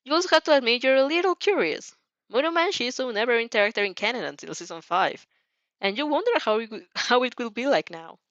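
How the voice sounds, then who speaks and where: Girl reading fanfic out loud, giggly and expressive, chatty asides, kinda muffled dry mic. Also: fairly high pitch, quick pace, no echo. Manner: giggly, expressive, breaks off to comment. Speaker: fan fiction narrator and reactor. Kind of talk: dramatic reading with casual reactions.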